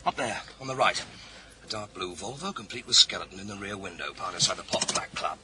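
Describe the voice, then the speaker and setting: An actor playing a world-weary British police inspector, dry and laconic, in a naturalistic crime drama. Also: male voice, on the low side, quick pace, background noise, lightly acted. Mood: urgent.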